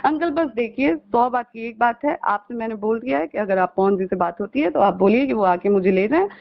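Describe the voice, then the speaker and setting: A woman's voice: on the high side, expressive, brisk, vocal fry. A young woman, arguing on a recorded phone call in an insistent, pleading way and repeating herself. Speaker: caller. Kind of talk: heated private phone conversation.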